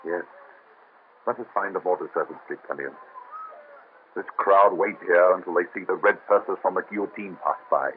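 Cast: man as a weary doctor, very deep voice, subdued, old radio drama. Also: moderate pace, pauses often, close to an everyday voice. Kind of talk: 1930s radio drama acting. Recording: tinny old radio with hiss.

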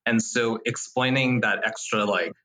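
Bright, husky man in his thirties. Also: on the low side, steady tone, low-quality recording. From Taiwan. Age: 30s. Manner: reflective, measured, with fillers. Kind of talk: casual conversation.

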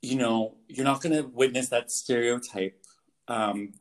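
A young Canadian man, a photographer, answers interview questions in a casual, earnest way, halting often with fillers and restarts. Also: medium pitch, steady tone. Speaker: guest photographer.

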